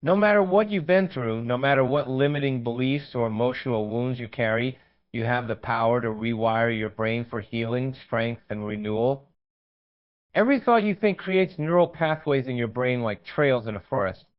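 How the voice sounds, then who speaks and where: A man reading a scripted guided meditation in a measured, reassuring, instructional manner. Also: medium pitch, expressive, muffled recording.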